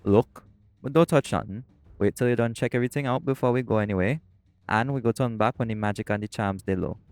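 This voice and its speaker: A man's voice: lowish voice, fast, no room sound, lightly acted. A man playing a teasing, easygoing shapeshifter boyfriend with a Caribbean accent in an audio roleplay. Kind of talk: scripted audio roleplay acting.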